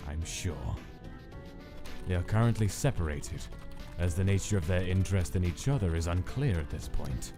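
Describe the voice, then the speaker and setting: A man voicing a cold, clinical male scientist who dictates experiment logs in a detached, measured way. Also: low-pitched. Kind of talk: fan comic dub narration.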